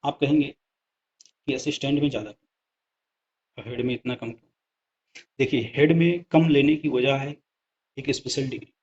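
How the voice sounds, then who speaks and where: A man's voice: mid-range voice, steady tone, moderate pace, long pauses, darkish tone. A man giving a conversational explanatory lesson over slides, speaking directly to viewers and repeating points for emphasis. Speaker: exam-prep presenter. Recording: dull lo-fi sound.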